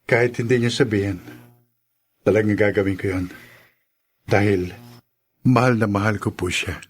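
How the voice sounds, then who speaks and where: An actor playing an earnest, devoted young man with a husky voice in a radio drama. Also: fairly low pitch, fairly even intonation, moderate pace. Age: young adult. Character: devoted, puzzled young boyfriend.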